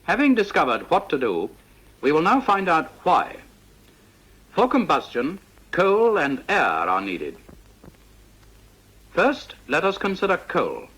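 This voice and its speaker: A British man narrates an old instructional film in a precise, didactic style. His voice sits a little high and swings in pitch to stress key words. It is bright and forceful, with measured, scripted delivery. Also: huge pitch swings, husky, poor audio.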